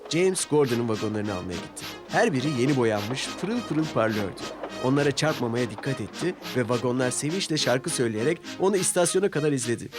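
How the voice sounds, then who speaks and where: A man's voice: mid-range voice, animated, a little fast, over music. A man narrating a scripted children's story as a storyteller.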